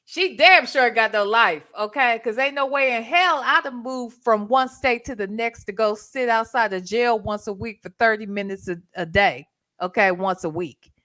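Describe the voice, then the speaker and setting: A woman with a fairly high voice hosts a casual livestream. She talks bluntly and in slang, exclaiming as she comments and reads from the chat. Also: moderate pace, bright, forceful tone. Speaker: livestream host.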